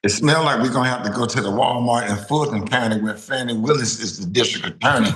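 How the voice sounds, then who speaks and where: A man co-hosting a commentary show, reacting in an animated way and repeating words as he talks. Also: mid-range voice, fast talker, roomy sound, low-quality recording. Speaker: co-host. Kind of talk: casual commentary.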